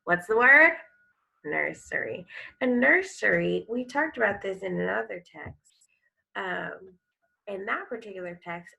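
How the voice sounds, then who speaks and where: A woman with a heavily creaky, bright voice speaks as an elementary teacher reading aloud to children. She takes her time, explaining and prompting in an instructional way. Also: fairly low pitch, poor audio. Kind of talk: read-aloud lesson with vocabulary teaching and think-aloud commentary.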